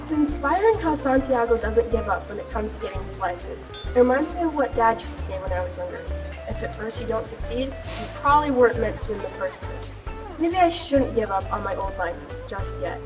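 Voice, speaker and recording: A woman's voice: a little high, lively intonation, brisk, natural voice. Woman as a downcast young woman, distant mic. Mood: reflective. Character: downcast, practical young woman starting over. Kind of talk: amateur radio play acting. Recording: distant mic, music behind.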